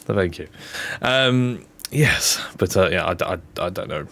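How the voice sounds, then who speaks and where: A man's voice: low-pitched, lively intonation, slow pace, vocal fry, fairly bright tone. A young man with a blocked, nasal nose chatting casually on a game stream, rambling with lots of ums and restarts. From Britain. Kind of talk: casual live-stream chat.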